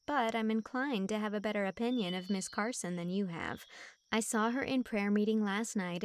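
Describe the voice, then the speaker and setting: A woman's voice: medium pitch, vocal fry, natural voice. A woman voicing a dreamy, cheerful young woman with a lilting, enthusiastic way of talking.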